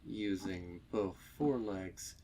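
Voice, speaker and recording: Slow, low-voiced man narrating a dark story. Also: slight background noise. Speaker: narrator of a horror fan fiction reading. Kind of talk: scripted dramatic story narration.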